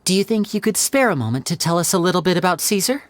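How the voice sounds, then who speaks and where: Courteous, brisk young investigator, voiced by a woman; animated pitch, light music bed. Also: a little hiss or hum, natural voice. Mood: curious.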